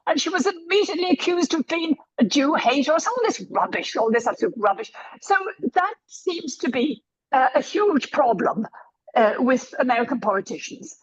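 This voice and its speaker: A woman with a high, croaky voice speaks over a video call, and her sound comes through muffled and lo-fi. She makes her case emphatically and hammers key phrases twice. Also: lively intonation, normal speed. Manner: emphatic, repeats points for effect. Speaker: meeting participant. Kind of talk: opinionated commentary in an online meeting. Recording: dull lo-fi video call audio.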